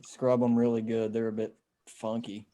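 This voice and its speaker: An actor playing a weary, trusting husband and survivor in a table read, with a casual, understated delivery. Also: male voice, mid-range voice, completely flat delivery, normal speed, mellow, natural voice. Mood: calm, easy.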